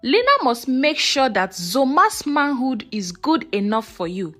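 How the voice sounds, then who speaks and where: A woman's voice: high-pitched, big pitch swings, unhurried, pushed and bright, faint music behind, exaggerated voice. A woman voicing an earnest village mother who instructs on tradition in a folktale. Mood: solemn.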